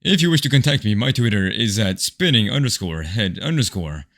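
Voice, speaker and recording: A man's voice: lowish voice, sing-song, brisk, fairly bright tone. A young man, a voice actor, giving an upbeat, casual introduction of himself. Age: young adult. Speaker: amateur voice actor.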